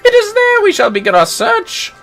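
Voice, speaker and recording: A man voicing a vain, relentlessly cheerful gentleman inspector in a video game, declaiming pompously and theatrically. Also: very high voice, huge pitch swings, moderate pace, faint music behind, pitched above the performer's own voice, heavily acted. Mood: confident deducing.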